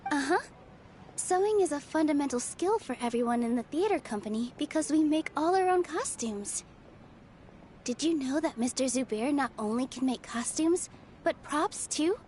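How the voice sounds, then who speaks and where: High-voiced, cheerful young theater dancer. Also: female voice, lively intonation, moderate pace, slight background noise. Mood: cheerful pride. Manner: bright-spirited, polite, welcoming. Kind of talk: video game voice acting.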